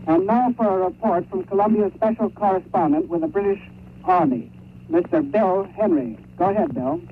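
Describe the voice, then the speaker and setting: A man's voice: high-pitched, moderate pace, narrow, tinny audio. A man reading a scripted 1930s radio news report as a correspondent over a crackly shortwave link.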